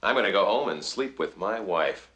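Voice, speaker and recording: Low, dry film-comedy lawman. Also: animated, normal speed, fairly bright tone, a little hiss or hum. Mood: wry. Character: male lawman in a comedy film, wry, unruffled.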